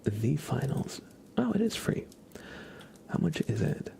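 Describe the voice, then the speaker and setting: A man's voice: medium pitch, sing-song, a little slow, creaky, warm tone, a little hiss or hum. A young man whispering casual, rambling chat as the host of an ASMR livestream, often hesitating.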